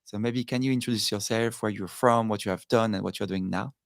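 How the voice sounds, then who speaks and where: Guy hosting a fintech-style interview, gravelly voice, dry sound, kinda halting with lots of ums. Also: fairly low pitch, fairly even intonation, no room sound. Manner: friendly, halting, many fillers. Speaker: podcast host.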